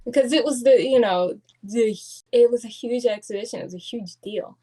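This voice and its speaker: A woman's voice: fairly high pitch, moderate pace, mellow, low-quality recording. A woman, a guest artist, telling stories with animation in a casual podcast chat, with many fillers.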